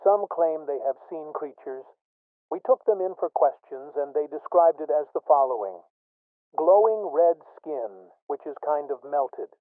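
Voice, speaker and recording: A man playing a police officer giving a deliberate, matter-of-fact report over a radio link. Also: a little high, lively intonation, no echo, narrow, tinny audio. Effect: radio filter. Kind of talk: scripted on-scene report.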